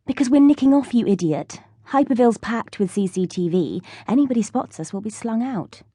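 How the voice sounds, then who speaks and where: A woman's voice: a little high, expressive, brisk, warm tone, no echo, clean studio sound, pitched above the performer's own voice. A woman voicing a cocky, streetwise teenage schoolgirl in an audiobook, with a breezy, bossy, know-it-all manner. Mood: bossy, conspiratorial.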